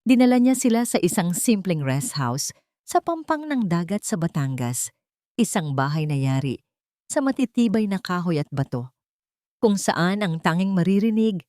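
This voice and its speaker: A woman narrates a dramatic story in a fairly low voice. The sound is dry and close, with very little room on it. She reads from a script as an audiobook narrator. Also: darkish tone, no echo.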